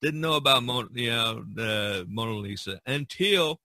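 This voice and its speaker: Man, podcast host, bright voice, rambling storyteller, casual chat, dry sound. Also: medium pitch, animated, normal speed, no room sound. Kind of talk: casual discussion of art history.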